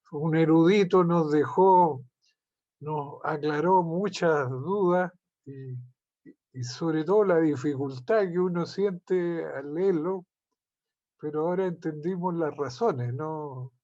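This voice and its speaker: A man's voice: on the high side, slow, dark tone. A man organizing a talk series, giving informal closing thanks on a video call. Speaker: event organizer. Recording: muffled video call audio.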